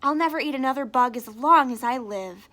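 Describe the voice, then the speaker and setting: A woman voicing a young female bat in a storybook, earnest and naive, with a squeaky, childlike manner. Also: brisk, bright, forceful tone. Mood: cheerful.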